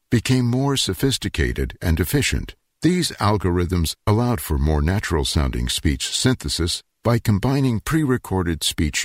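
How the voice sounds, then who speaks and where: Older male narrator, measured and explanatory, lively pitch, dry close sound. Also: breathy edge, no room sound. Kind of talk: scripted documentary narration.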